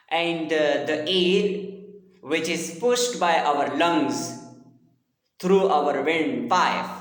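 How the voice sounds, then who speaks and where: A man lecturing on a language subject in a deliberate, repetitive, formally explanatory way. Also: fairly high pitch, a bit bright. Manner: deliberate, repetitive teaching with formal address to listeners. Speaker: linguistics lecturer. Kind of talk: explanatory phonetics lesson. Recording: echoey room with long reverb.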